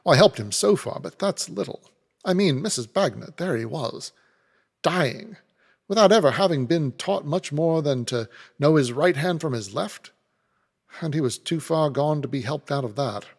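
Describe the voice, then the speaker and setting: A man voicing a big, gruff old trooper who speaks in an earnest, loud voice. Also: fairly high pitch, expressive, normal speed. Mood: troubled. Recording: reverberant room.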